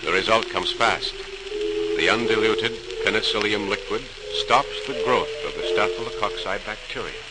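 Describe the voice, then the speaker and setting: Theatrical low-pitched 1940s radio narrator, a man, crackly. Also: close to an everyday voice. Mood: expository, building. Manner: theatrical, with emphatic repetitions and suspenseful pauses. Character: solemn, suspenseful male storyteller of scientific discovery.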